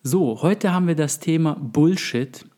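A man hosting a podcast, a little hoarse from a cold, explaining his ideas at length with many pauses and fillers. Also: on the high side, lively intonation, warm tone. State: under the weather, voice a bit hoarse. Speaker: podcast host. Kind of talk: casual podcast chat.

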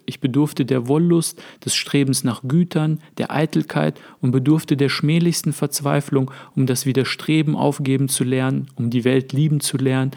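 A man reading aloud as a wise, calm sage explaining his thoughts to a friend, in a measured, earnest way. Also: medium pitch, vocal fry, lightly acted. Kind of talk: read-aloud literary dialogue.

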